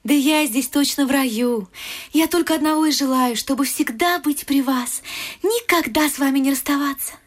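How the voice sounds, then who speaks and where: A woman's voice: high-pitched, moderate pace, close to an everyday voice. A woman playing a carefree, exuberant young country noblewoman in a classic vaudeville radio play, chirpy and girlish. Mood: carefree, eager.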